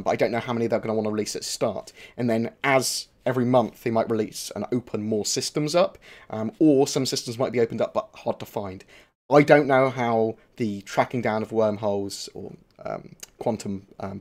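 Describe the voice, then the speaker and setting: A man's voice: fairly low pitch, darkish tone. A young man chatting casually on a live stream, answering viewer questions with lots of fillers, restarts and swearing.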